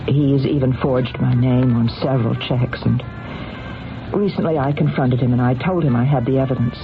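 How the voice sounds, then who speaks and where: An actress playing a scheming businesswoman who feigns innocence, halting and theatrical, in an old-time radio drama. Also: a bass voice, fairly even intonation. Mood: reluctant, distressed. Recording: muffled vintage radio with music behind.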